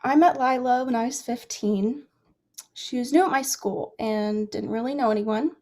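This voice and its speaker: A woman's voice: fairly high pitch, normal speed, warm tone, natural voice. A young woman in a staged play reading, acting a guarded, lovesick girl with a hesitant, confessional delivery. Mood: wistful, confessional.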